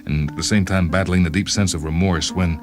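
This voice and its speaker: A man reading a first-person short story in a wry, measured, literary way, as a dramatic narrator. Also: sits low, steady tone. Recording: music bed behind the voice. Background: instrumental music with guitar.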